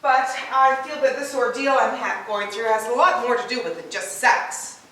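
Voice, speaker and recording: A woman's voice: on the high side, moderate pace, fairly bright tone, lightly acted. Woman acting an earnest wife, echoey and distant. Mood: hopeful, rationalizing. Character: earnest, self-justifying wife calmly rationalizing her fantasy affair. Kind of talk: stage play rehearsal acting. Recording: distant, echoey room with background noise.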